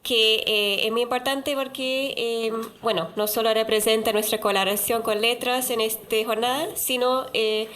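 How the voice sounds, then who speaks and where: A woman moderating a university panel in an auditorium, halting and full of filler sounds. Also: a little high, fairly even intonation, fairly bright tone, slight background noise.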